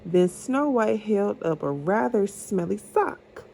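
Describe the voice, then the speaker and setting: A mother reading a storybook aloud to her child in a patient, deliberate, encouraging way. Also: medium pitch, lively intonation, creaky, darkish tone, a little hiss or hum.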